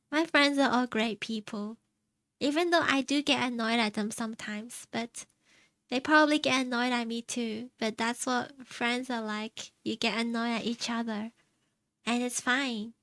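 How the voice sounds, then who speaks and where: Slow-talking young woman streamer. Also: a little high, no echo. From China. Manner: soft, chatty, rambling, laughs often. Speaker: VTuber streamer. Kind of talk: casual stream chat, reading and answering chat. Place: home streaming setup.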